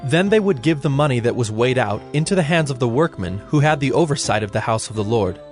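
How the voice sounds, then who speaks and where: A man's voice: medium pitch, expressive, fast. Solemn male scripture narrator over faint music.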